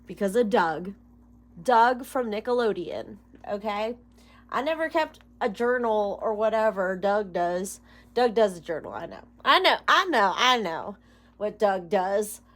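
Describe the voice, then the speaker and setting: A woman's voice: mid-range voice, lively intonation, measured pace, fairly bright tone. A woman in her thirties chatting casually to her viewers as a tarot reader, rambling, swearing freely and breaking into giggles. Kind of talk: casual rambling vlog chat.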